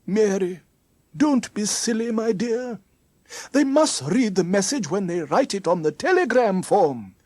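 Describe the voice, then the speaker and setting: A narrator voicing a genial but scheming gentleman in a children's story, coaxing and condescending with endearments. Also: medium pitch, animated, unhurried, no room sound, voice pushed up. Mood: reassuring, coaxing. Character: genial, smooth-talking gentleman, patronising and scheming.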